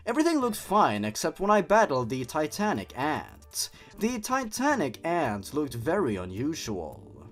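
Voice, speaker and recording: A man hosting a dramatic reading of a horror story, dropping in sarcastic, exasperated comments of his own. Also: fairly high pitch. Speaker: host. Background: music.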